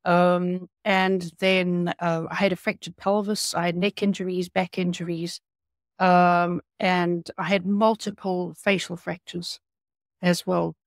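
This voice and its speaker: A middle-aged woman with a South African accent, telling her story as an interview guest over a video call, with many hesitant pauses. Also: on the low side, level tone, vocal fry, no room sound, clean studio sound. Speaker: guest, patient advocate. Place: home room.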